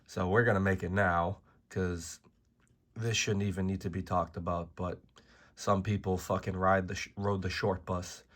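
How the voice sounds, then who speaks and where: A man with a hoarse, worn-out voice from being sick, delivering a blunt, profane, exasperated rant to camera as a card-game video host. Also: low voice, little pitch movement, normal speed, fairly bright tone. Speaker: trading card game YouTuber. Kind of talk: ranting video commentary.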